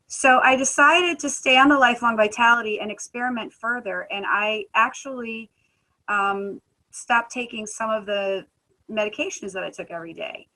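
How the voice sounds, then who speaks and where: A woman who sings in a band, giving a conversational personal testimony at a wellness talk, earnest, with many filler pauses. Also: a little high, sharp tone, rough recording. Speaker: guest speaker, musician.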